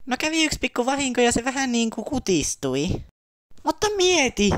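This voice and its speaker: A high-pitched young cartoon fairy performed by a woman, perky and excitable. The delivery is upbeat and casual, in the manner of an amateur comedy dub. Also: no echo, studio quality. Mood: upbeat, excited.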